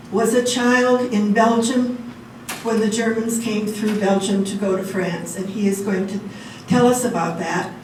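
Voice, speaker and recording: A woman, a program coordinator, giving a welcome and introducing a speaker to a large class. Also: a little high. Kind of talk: formal spoken welcome and introduction. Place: large room, group class. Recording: very echoey, distant, noisy room.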